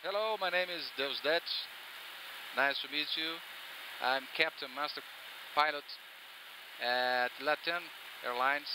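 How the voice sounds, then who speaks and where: Halting airline captain in a noisy cockpit. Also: male voice, a little high. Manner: slow, halting self-introduction with fillers. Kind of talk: speaking to camera. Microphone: headset boom mic. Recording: noisy cockpit, engine hum.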